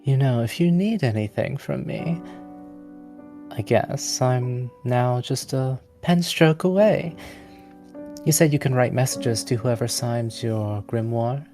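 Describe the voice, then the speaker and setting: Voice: medium pitch, animated, slow, warm tone, soft background music, pitched above the performer's own voice. Game master as a poised, teasing half-elven noblewoman. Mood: warm, curious.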